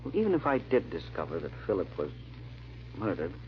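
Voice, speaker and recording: An actor playing a haunted, earnest gentleman sleuth, with dramatic, hesitant delivery in old-time radio drama style. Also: deep voice, wildly swooping pitch, brisk, exaggerated voice. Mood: earnest, wry. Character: haunted, earnest gentleman amateur sleuth narrating his search. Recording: muffled old radio recording with hiss.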